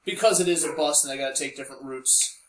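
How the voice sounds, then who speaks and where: A man's voice: medium pitch, fast talker. A man co-hosting a casual group podcast, laughing often and pulling the talk back on topic. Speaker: podcast co-host. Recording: roomy echo.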